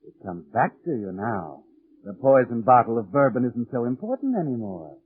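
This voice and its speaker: An actor playing a sinister, knowing narrator in a 1940s radio thriller, speaking in an insinuating, dramatic manner. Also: male voice, medium pitch, sing-song, normal speed, slightly rough. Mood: ominous. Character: sinister, knowing narrator taunting the guilty man. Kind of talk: 1940s radio suspense narration in second person. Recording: tinny 1940s radio recording with music.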